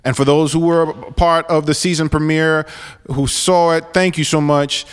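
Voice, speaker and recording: Forties male podcast host, earnest and halting, smooth resonant tone, reverberant room. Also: a little high, steady tone. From New York, raised in Florida. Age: 40s. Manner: earnest, halting with many restarts and fillers. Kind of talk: solo podcast monologue.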